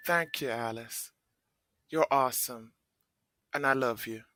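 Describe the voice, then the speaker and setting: A woman playing a loving, wise grandmother offering gentle guidance in an audio play. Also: extremely low pitch, a little slow, a little hiss or hum, pitched below the performer's own voice. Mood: affectionate.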